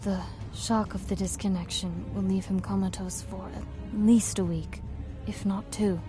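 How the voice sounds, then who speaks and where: A calm woman technician, voiced by a woman, explains a delicate procedure in precise, businesslike terms. Music plays softly behind her. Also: medium pitch, unhurried, natural voice. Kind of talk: fan audio drama acting. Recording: music playing underneath.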